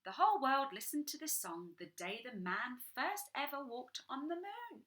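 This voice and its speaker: A woman's voice: sits high, very animated, normal speed, a bit bright. A young woman reading a picture book to children, breaking off for chatty, enthusiastic asides. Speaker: children's storytime reader.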